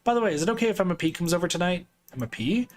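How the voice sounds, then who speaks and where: Guy putting on a bouncy teen girl voice, playful and cheerful, pitched way up. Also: expressive, racing. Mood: chirpy. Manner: chirpy and playful. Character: bubbly teenage girl, cheerful daughter.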